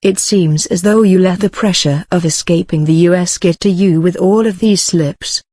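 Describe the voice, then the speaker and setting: A woman's voice: fairly low pitch, normal speed, a little mellow, no room sound. Woman interviewer, dry close sound. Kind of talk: scripted interview and narration.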